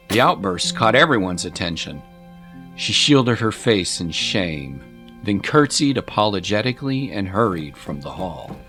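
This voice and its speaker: A male narrator with a lowish voice and highly animated intonation tells a dramatic story. His delivery is measured and literary, with clearly audible music behind him.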